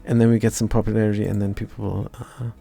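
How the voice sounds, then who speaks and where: A man with a German accent and a dark, gravelly voice talks through code at a desk mic, half-finishing his sentences. He is wry and self-deprecating, with faint music under him. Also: on the low side, flat delivery, fast talker. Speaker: live-streaming coder. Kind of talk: thinking aloud while coding, chatting with viewers.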